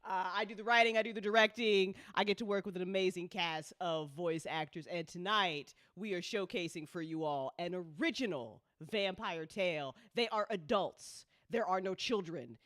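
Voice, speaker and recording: A woman's voice: on the low side, normal speed, hardly any pauses, a bit bright, dry recording, studio quality. A woman chatting casually as the host of a live listening party, informal, playful and freely sweary. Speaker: host of a live audio drama listening party, co-founder, writer and director. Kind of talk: casual live-stream chat.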